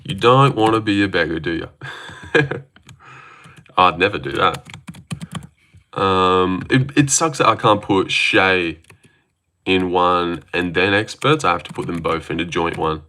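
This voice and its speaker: A man's voice: deep voice, a little slow, pauses often, pushed and bright, slight background noise. An Australian man hosting a casual livestream, answering viewer comments in a rambling, joking way with lots of fillers and restarts. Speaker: livestream host.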